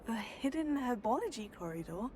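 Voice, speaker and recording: A calm young witch student. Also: on the high side, moderate pace, a little hiss or hum. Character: composed young female wizard student. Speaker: game player character. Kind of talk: video game dialogue.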